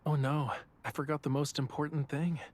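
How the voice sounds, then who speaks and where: A man's voice: on the high side, little pitch movement, normal speed, close to an everyday voice. An actor playing a casually indifferent, teasing young male artist in a video game. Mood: casual, sheepish. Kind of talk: English video game voice acting.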